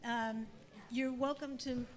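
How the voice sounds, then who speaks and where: A woman's voice: sits high, steady tone, slow pace, a little hiss or hum. A woman leading a congregation's Shabbat service, welcoming and upbeat as she calls people forward. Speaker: service leader. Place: synagogue sanctuary.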